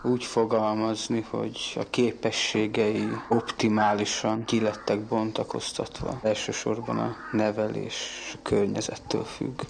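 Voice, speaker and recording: Gravelly low-voiced man, formal and deliberate. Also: monotone, slight background noise. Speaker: father of the girl. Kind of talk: interviewed parent on archival radio.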